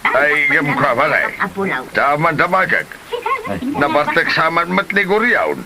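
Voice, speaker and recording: Gambling buddy acted by a man, Ilocano Filipino, excitable, very lively mid-range voice, comedy drama, crowd chatter behind. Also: fairly high pitch, slow pace. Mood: animated. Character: excitable male village gambling crony. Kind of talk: amateur comedy drama acting.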